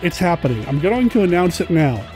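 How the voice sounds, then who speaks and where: A male podcast host with a fairly high voice chats informally and with excitement. He addresses listeners directly while soft music plays underneath. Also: brisk. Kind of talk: casual enthusiastic chat to listeners.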